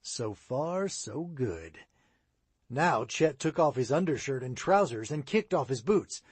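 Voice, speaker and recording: Lively-voiced man reading a children's book. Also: medium pitch, takes it slowly, husky, dry recording. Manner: expressive read-aloud, rising and falling. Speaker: audiobook reader. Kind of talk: children's audiobook narration.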